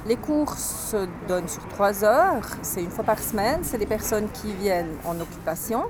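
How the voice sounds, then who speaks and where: A woman's voice: medium pitch, lively intonation, moderate pace, background noise. A woman who volunteers as a French teacher, explaining her classes in a matter-of-fact way. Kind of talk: interview answers.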